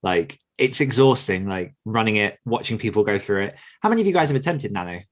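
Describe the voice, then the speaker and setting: A man's voice: fairly low pitch, sing-song, brisk. A man hosting a podcast, asking guests questions in a casual interviewing style. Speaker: podcast host.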